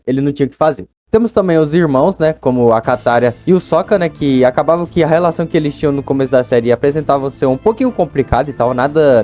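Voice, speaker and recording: A man's voice: on the high side, darkish tone. A young man with a Brazilian accent giving casual, slangy, chatty commentary as a video host.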